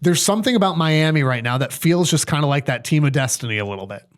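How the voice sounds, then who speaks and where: Male sports co-host, slightly high voice, conversational, weighing his opinions aloud with some hesitation. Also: expressive. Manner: hesitant, thinking aloud, measured. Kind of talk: casual sports discussion.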